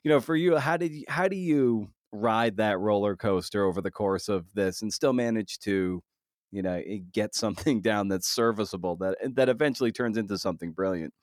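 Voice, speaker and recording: A man hosting a podcast, interviewing in a casual, conversational way with halting, searching phrasing. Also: on the low side, no room sound, clean studio sound.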